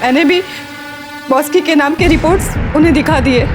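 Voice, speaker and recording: A woman's voice: high voice, a little fast, over music, natural voice. An actress playing an anguished, guilt-ridden woman making a confession in a TV crime drama. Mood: anguished, guilty. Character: anguished woman confessing her illness and deceit.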